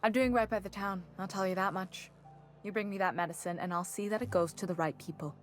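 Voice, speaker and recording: Scheming female company clerk played by a woman, folksy, confiding, mid-pitched, video game frontier town. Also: steady tone, fast, soft background music, lightly acted. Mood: confiding, insistent. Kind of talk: video game dialogue acting.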